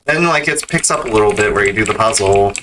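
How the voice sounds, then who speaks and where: Guy streaming a speedrun, low sharp voice, chatting casually, keys clacking behind him. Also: expressive, fast. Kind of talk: casual gameplay commentary. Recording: keyboard clicks and background noise.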